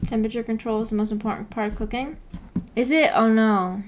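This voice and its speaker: A woman's voice: a little high, brisk, slight background noise. Laid-back woman chatting on a livestream. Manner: laid-back, rambling, thinking aloud; reads viewer comments aloud and replies. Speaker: livestream host. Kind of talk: casual livestream chat with viewers.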